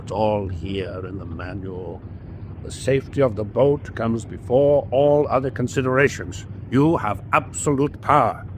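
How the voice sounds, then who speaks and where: Man playing a measured, ruthless U-boat adviser. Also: medium pitch, slight background noise, lightly acted. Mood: ruthless, insistent. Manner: measured, insinuating, formal. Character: coolly persuasive, ruthless male adviser aboard a U-boat. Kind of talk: radio drama acting.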